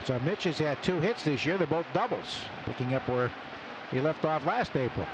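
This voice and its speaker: A man does live baseball play-by-play for a radio broadcast. His voice sits a little high for a man. Clear outdoor stadium noise runs behind him as he calls the game. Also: expressive, normal speed. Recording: radio broadcast over loud ballpark background noise.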